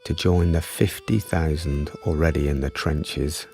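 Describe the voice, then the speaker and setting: A man's voice: very deep voice, steady tone, unhurried, gravelly, mellow, faint music behind, heavily acted. A man narrating a radio drama as a grave, tender storyteller of a wartime tale.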